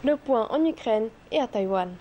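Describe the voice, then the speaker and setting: A woman anchoring a news broadcast, reading her script slowly and deliberately. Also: on the high side, animated, dull audio, slight background noise. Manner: slow deliberate read.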